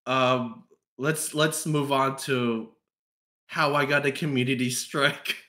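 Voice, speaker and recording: A man hosting a casual podcast chat, halting and stammering as he repeats his words. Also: medium pitch, steady tone, pushed and bright. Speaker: podcast host.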